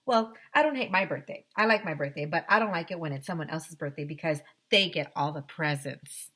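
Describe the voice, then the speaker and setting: A woman's voice: sits low, fairly quick, bright, forceful tone. A grumbling young schoolboy from a storybook, read by a woman. He is blunt and envious, stressing his complaints. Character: nine-year-old schoolboy narrator, grumbling, envious, blunt.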